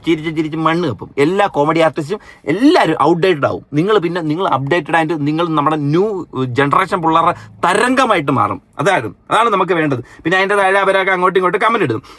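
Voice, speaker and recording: A man's voice: a little high, lively intonation, fast talker, a bit bright, no echo. A man with a Kerala accent giving casual, mocking reaction commentary and reading comments out theatrically. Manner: mocking, sarcastic, reads comments aloud theatrically. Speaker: online commentary host.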